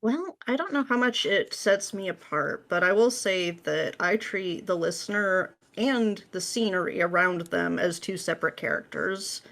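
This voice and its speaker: A woman's voice: on the low side, lively intonation, normal speed, gravelly, few pauses, fairly bright tone. A woman speaking as a podcast guest in a casual, candid, chatty and informal way. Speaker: podcast guest, script writer. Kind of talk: casual interview chat.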